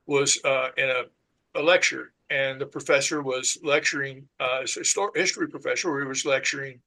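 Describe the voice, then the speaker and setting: A man, a podcast guest talking casually and haltingly, with many "uh"s and "you know"s. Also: mid-range voice, steady tone, sharp tone. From Southern US. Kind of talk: casual conversation.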